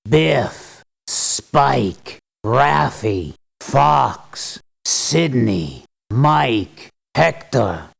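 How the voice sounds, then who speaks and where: Very gravelly, slow mercenary. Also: male voice, mid-range voice, exaggerated voice. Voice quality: gravelly, husky. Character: gruff, weary male mercenary for hire. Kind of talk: video game unit voice lines.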